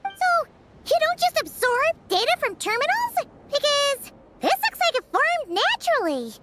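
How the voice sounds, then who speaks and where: A woman voicing a tiny, chirpy, childlike fairy companion in a video game, excitable, nosy and given to exclaiming. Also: very high-pitched, measured pace, heavy background noise, full character voice. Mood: curious, amazed. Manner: exclamatory, refers to self in third person.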